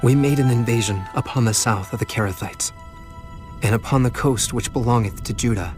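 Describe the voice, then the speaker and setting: A man's voice: mid-range voice, brisk, lightly acted. An actor playing a young, subservient servant man in a scripture drama, pleading earnestly in formal, archaic phrases. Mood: weary, explaining. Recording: music behind the voice.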